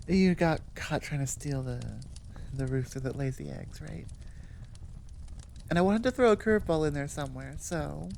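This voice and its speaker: A woman audio roleplay creator chatting informally to her listeners in an animated, rambling way. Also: lowish voice, slight background noise. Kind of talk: casual chat outro to listeners.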